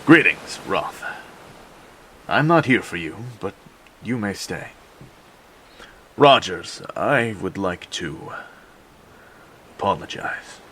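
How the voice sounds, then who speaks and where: A proud, stern king of the sea, played by a man, with a low, bright and forceful voice. He talks slowly and formally, as if every word were a decree, in a superhero audio drama with some noise behind him. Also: lively intonation, exaggerated voice. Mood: grave, conciliatory. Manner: formal, imperious, deliberate. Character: proud, stern male king of undersea Atlantis.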